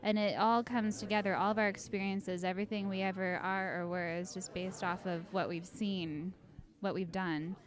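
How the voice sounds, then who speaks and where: A woman's voice: mid-range voice, little pitch movement, normal speed. Casual woman thinking aloud. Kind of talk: candid interview answers, casual and reflective.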